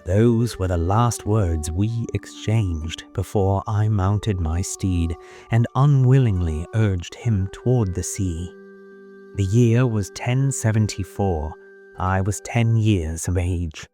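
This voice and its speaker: A man playing a wistful young noble heir, telling his story in measured, formal first-person narration for an audio drama. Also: low-pitched, mellow. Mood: wistful, regretful. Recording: music under the voice. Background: music.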